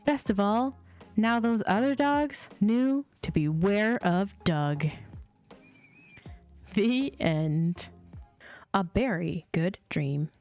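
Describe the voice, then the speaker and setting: Unhurried woman reading bedtime stories over music. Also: medium pitch, expressive, a little mellow. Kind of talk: storybook narration for children. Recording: music playing behind the voice. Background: chill music.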